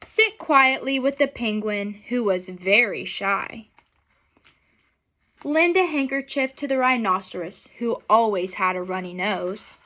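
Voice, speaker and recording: A woman's voice: mid-range voice, lively intonation, measured pace, sharp tone. A young adult woman reading a picture book aloud expressively and putting questions to children in an instructional way. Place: small furnished room at home, indoors.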